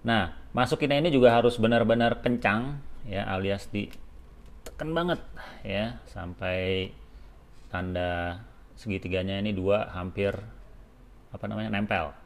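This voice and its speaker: A man's voice: on the low side, a little slow, pauses often. A man giving a casual, hands-on product demonstration step by step, informally and with short check-in tags. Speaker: product tutorial presenter for a helmet shop.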